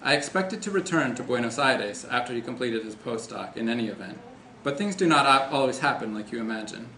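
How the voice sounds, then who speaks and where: A man's voice: mid-range voice, animated, a little fast, sharp tone. A man reading a first-person short story aloud as an author. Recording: echoey room, faint background noise.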